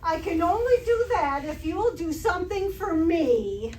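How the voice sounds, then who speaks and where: A woman playing a wry, sardonic high school teacher in old-time radio comedy style, with dry, deliberate wisecracks. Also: animated, darkish tone. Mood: deadpan. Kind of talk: 1940s radio comedy acting, staged revival. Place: live stage performance by theater troupe. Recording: echoey hall from far off, faint noise.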